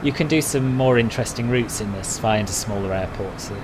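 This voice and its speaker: Man, relaxed conversational live-stream talk, answering chat, steady background noise. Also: fairly low pitch, fairly quick. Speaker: flight sim streamer.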